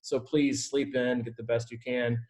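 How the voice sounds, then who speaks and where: A man's voice: medium pitch, level tone, normal speed, darkish tone. A man working as a triathlon coach, informally and reassuringly answering team questions on a video call.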